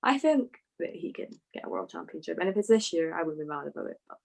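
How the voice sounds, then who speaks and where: Fast-talking woman in casual fan chat. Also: fairly low pitch, very animated, creaky, a little mellow. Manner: gushing, fan-like, frequent "like". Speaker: motorsport podcast panellist.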